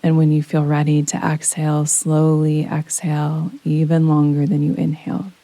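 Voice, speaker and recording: A woman gently guiding a yoga nidra relaxation meditation in a soothing, unhurried manner with long pauses. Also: deep voice, monotone, dry recording. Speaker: meditation guide. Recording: close dry voice over rain sounds. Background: soft rain sounds.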